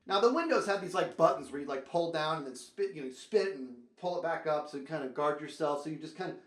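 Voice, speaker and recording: A man's voice: fairly high pitch, animated, fast talker. A man hosting a comedic storytelling show, talking straight to viewers in a theatrical, exaggerated, emphatic way and repeating phrases. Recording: roomy echo.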